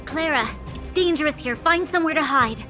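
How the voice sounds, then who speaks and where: A woman's voice: high voice, brisk, music in the background, close to an everyday voice. A woman playing a composed, caring adult female doctor in a video game cutscene. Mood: firm, protective.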